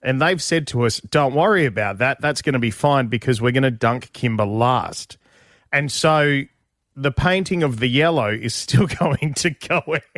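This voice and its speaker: A man's voice: mid-range voice, normal speed, fairly bright tone, dry recording. An Australian man co-hosts a radio show with casual chat and opinion. His mid-range voice comes through close and dry on a studio microphone.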